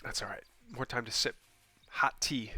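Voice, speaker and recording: A man casting a game replay on his own, with a stuffy, scratchy voice from a cold, talking casually with lots of ums and restarts and sudden bursts of excitement. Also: mid-range voice, moderate pace, breathy edge, no echo. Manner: casual, rambling, with frequent ums and self-corrections. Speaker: game caster. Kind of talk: solo replay commentary.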